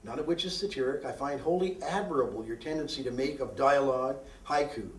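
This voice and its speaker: A man's voice: mid-range voice, brisk. Echoey, distant male poet reading aloud. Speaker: poet reading own work. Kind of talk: live poetry reading, declamatory. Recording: roomy echo, distant microphone.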